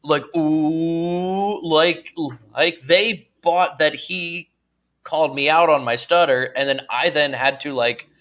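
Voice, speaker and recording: A man with a pronounced stutter telling stories casually as a guest comedian, halting and repeating sounds, with chuckles in his speech. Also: on the high side, a bit bright, highs cut off. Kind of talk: casual comic storytelling on a podcast.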